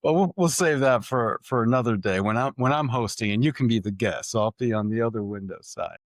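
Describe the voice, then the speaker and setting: A middle-aged man from Puerto Rico, a tech executive guest telling his life story in an animated way, laughing often and repeating words as he starts sentences. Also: medium pitch, a little fast, no echo. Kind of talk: conversational storytelling.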